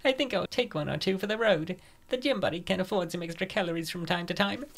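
A woman's voice: fairly low pitch, fast talker. A woman voicing a scheming, gluttonous young gym woman in a comic dub, coaxing and smug.

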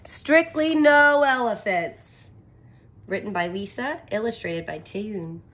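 Slow-paced woman reading to young children. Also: medium pitch. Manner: expressive, simple explanatory phrasing for children. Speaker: elementary teacher reading aloud. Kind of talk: picture book read-aloud with commentary for young children. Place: small furnished room indoors.

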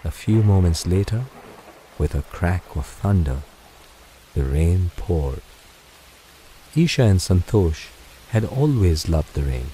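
Deep, very mellow man telling bedtime stories. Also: slight background noise, rough recording. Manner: soothing, unhurried, gentle storytelling. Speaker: sleep meditation narrator. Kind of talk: guided bedtime story narration.

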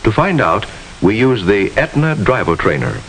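Low-voiced American man narrating, lively intonation. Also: moderate pace, low-quality recording. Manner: instructive, formal, precise diction. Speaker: narrator of an educational safety film. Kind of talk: 1950s educational film narration. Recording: hissy 1950s film soundtrack.

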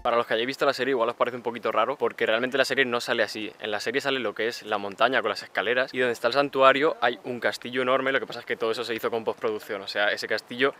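Fast-talking young man vlogging outdoors. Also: medium pitch, fairly even intonation, sharp tone, soft background music. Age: 20s. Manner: chatty, rapid, filler-heavy. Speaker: travel vlogger. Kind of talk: casual travel vlog narration. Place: outdoors on a bridge, city streets and coastal trail. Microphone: handheld camera at arm's length.

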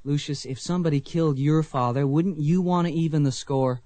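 A man's voice: fairly high pitch, fairly quick, mellow, dry recording, natural voice. An actor playing a determined, earnest young man bent on vengeance in a radio western, speaking insistently.

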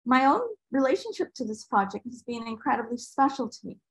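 An older woman from Barbados reading a prepared presentation in a measured, formal manner on a video call. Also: a little high, animated, breathy edge, poor audio. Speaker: artist and panel presenter. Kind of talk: prepared presentation read aloud. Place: indoor room with bookshelves, on a video call. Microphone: video call microphone.

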